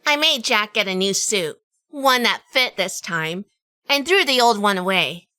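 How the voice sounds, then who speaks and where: A woman's voice: medium pitch, animated, measured pace, sharp tone, no room sound. A woman playing a wry, world-weary detective in a noir audio drama, with a dry, sardonic delivery. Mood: wry, narrating. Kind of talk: hardboiled noir radio drama narration and dialogue.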